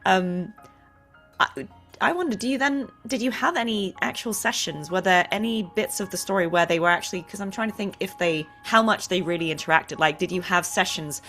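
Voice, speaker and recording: A woman's voice: medium pitch, fairly quick, bright, forceful tone, soft background music. A woman hosting a conversational interview, reflective and thinking aloud through long questions. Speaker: interview host and fellow voice actor.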